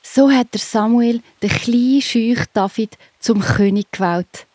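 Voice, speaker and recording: A woman's voice: on the high side, measured pace, a little mellow, husky, no room sound. A woman with a Swiss accent telling a Bible story to children as the narrator. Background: music.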